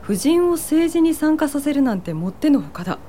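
A woman's voice: high-pitched, sing-song, brisk, mellow, slight background noise. An actress playing a doubtful, politely questioning woman at a meeting in a Japanese radio drama. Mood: skeptical.

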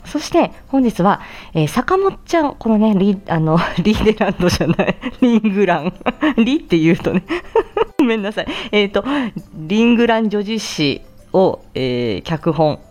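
A woman's voice: mid-range voice, very animated, normal speed, darkish tone. A woman host giving a casual, chatty morning announcement in a cheerful, giggly and informal manner. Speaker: audio channel host, speech therapist and amateur voice actor. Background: background music.

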